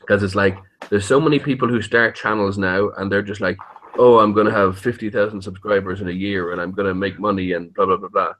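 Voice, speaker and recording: A man's voice: fairly low pitch, fairly even intonation, fairly quick, fairly bright tone, a little hiss or hum, low-quality recording. A man chatting casually as an interview guest, rambling and hesitant, with a joking streak and easy swearing. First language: English. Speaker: interviewed YouTube horror story narrator.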